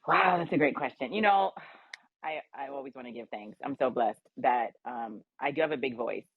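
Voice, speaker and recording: An assertive American woman tells personal stories in a candid, emphatic style. Her voice is husky with plenty of fry. It comes through thin, phone-like audio. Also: extremely low pitch, normal speed. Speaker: round table guest, cannabis advocate. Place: remote audio chatroom call. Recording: roomy, tinny phone-like audio.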